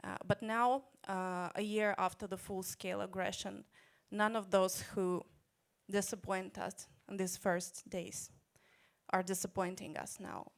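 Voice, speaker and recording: A woman from Ukraine, an English speaker and government minister, answering on a panel in a measured, earnest way with hesitant uhs. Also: medium pitch, little pitch movement, creaky.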